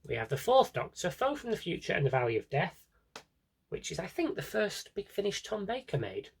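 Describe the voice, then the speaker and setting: A man's voice: high voice, very animated, a little fast, vocal fry, breathy edge. A British man chatting casually through a vlog-style review, wry and opinionated, with rambling asides. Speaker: vlogger showing a collection.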